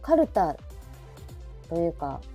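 High-voiced lady host, really lively intonation, casual chat w lots of little listening replies, music behind. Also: slow pace. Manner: attentive, frequent backchannels, recaps what she hears. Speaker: talk show host and picture book writer. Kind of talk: casual chat, interviewing a guest. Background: background music.

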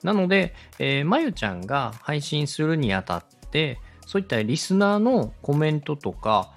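A man's voice: a little high, very animated, moderate pace, faint music behind. A man giving a polite, step-by-step explanatory talk as a podcast host and instructor. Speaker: podcast host and radio director teaching podcast making.

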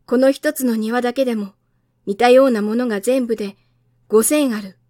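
Female storybook narrator, higher register, dry close sound. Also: fairly even intonation, moderate pace, warm tone, no room sound. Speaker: voice actor narrator. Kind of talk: storybook read-aloud.

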